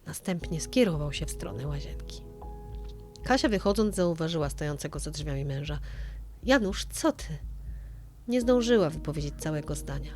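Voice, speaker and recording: Low-voiced woman narrating true crime over music. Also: expressive, fairly quick, a little mellow.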